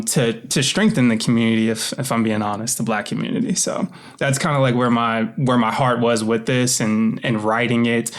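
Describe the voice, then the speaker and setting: A man with an American accent speaks at a medium pitch. He talks casually and reflectively as a guest, often halting as he feels out the right words. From United States. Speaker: interview guest, author and voice actor.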